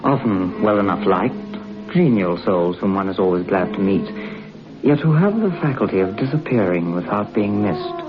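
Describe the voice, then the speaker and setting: Low-voiced genteel radio storyteller. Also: male voice, animated. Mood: wry, reflective. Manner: measured, literary, formal. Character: genteel male narrator reminiscing about an old acquaintance. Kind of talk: 1960s radio drama narration. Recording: dull old radio recording with music.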